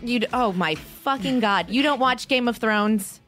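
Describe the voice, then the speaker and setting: A woman's voice: on the high side, normal speed, a bit bright, soft background music. A woman in her thirties hosting a podcast in casual chat, gushing, swearing freely and laughing often. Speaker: podcast host.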